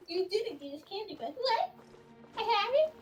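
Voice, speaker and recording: A woman streamer chatting casually while gaming, excitable and rambling, with a giggly manner. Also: extremely high pitch, measured pace. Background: faint game music.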